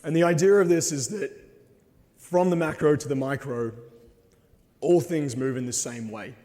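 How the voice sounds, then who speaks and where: Slightly hoarse man, earnest and halting, with a long hall reverb around his voice. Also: a little high, dark tone. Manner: hesitant, frequent ums, earnest. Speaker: conference speaker. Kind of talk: presentation talk with slides. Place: conference hall.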